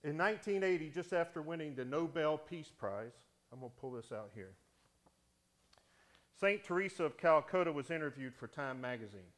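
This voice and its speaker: A man, a deacon, preaching a sermon in a church with a big voice that swings from loud to hushed, speaking into a microphone he wears. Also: fairly high pitch, animated, moderate pace. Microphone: wearing a microphone.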